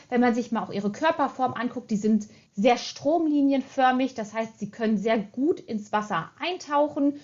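A female expert with a fairly high voice explains things informally and matter-of-factly. The sound is a little dull and lo-fi. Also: a little mellow. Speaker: seal expert.

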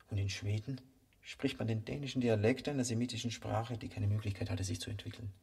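An actor playing a calm, eerie devil who guides and lectures in a measured way in a radio play. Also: male voice, fairly low pitch, exaggerated voice. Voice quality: husky, gravelly. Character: devil, calm eerie male guide through unrealized worlds. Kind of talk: German radio play acting.

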